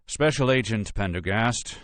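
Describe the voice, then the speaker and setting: An audiobook character acted by a male narrator: a pompous, impatient male lawyer. His delivery is brusque and emphatic, swinging animatedly in pitch, in a dry, close recording. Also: lively intonation, unhurried, fairly bright tone, dry recording. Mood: curt, suspicious. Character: irritable, self-important male museum lawyer, brusque and dismissive.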